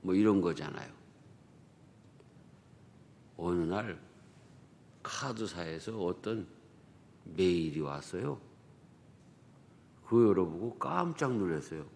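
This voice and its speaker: Korean pastor with Chungcheong accent, reverberant hall. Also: male voice, deep voice, expressive, slow pace, vocal fry, pauses often, darkish tone. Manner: conversational preaching, rhetorical questions, dialect jokes. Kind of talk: dawn prayer sermon. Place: church sanctuary. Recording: long church hall reverb.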